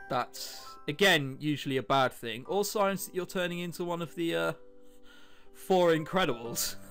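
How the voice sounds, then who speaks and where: A gamer hosts a let's-play, reading story text aloud and breaking off for wry comments. The delivery is animated, with pitch moving around a lot. Game music plays behind the voice. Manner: self-interrupting, wry joking asides. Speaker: host, let's-player. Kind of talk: casual gameplay commentary mixed with reading visual novel text aloud.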